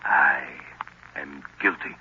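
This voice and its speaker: An actor in an old-time radio drama playing a menacing, commanding professor who hypnotises people, speaking deliberately and insistently. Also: male voice, rumbling low, husky, exaggerated voice. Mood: coldly commanding. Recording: noisy, narrow-band vintage radio recording.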